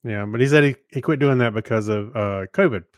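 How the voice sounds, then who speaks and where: A man's voice: medium pitch, brisk, dry recording, clean studio sound. A man co-hosting a podcast, chatting casually and reading out listener messages. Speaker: podcast co-host.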